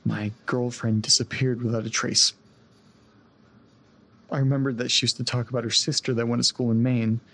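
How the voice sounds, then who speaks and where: A man playing a rattled, apologetic young man in an audio drama, speaking haltingly and stammering in a husky, creaky voice. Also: medium pitch, fairly bright tone. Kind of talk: naturalistic audio drama acting.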